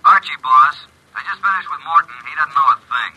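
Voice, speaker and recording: Eager detective's helper, telephone filter, very forceful, husky, brisk, old radio mystery. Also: male voice, fairly high pitch, lively intonation, full character voice. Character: eager, brisk male detective's assistant phoning in. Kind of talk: classic American radio drama acting. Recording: thin, band-limited telephone line sound.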